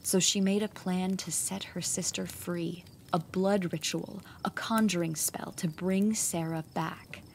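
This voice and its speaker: A woman narrating a scripted horror story in a dramatic, measured way. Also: fairly low pitch, steady tone, creaky, slight background noise. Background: music.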